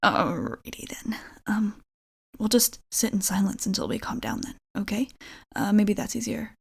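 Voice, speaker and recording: A woman playing an awkward, nervous young vampire roommate in an ASMR roleplay, halting and rambling apologetically. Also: medium pitch, lively intonation, gravelly, breathy edge, exaggerated voice. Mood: nervous, confessing.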